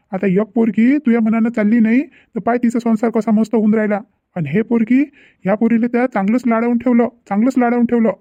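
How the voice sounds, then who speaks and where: A man's voice: extremely high pitch, warm tone, dry recording, lo-fi sound. A man voicing a stern, exasperated middle-aged father in a cartoon family drama, insistent and repetitive, with a Vidarbha Marathi accent.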